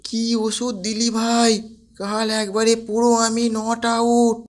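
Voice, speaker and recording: A woman's voice: fairly high pitch, monotone, takes it slowly, over loud music. A woman voicing a gullible, hard-drinking husband in a cartoon comedy. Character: hapless husband, tipsy and gullible. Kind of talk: cartoon voice acting.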